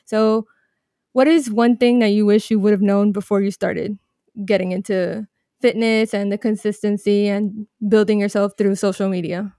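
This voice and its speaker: A woman's voice: mid-range voice, fairly even intonation, normal speed, dark tone, no room sound, studio quality. A woman co-hosting a podcast, speaking steadily as she welcomes listeners and asks questions. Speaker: podcast co-host.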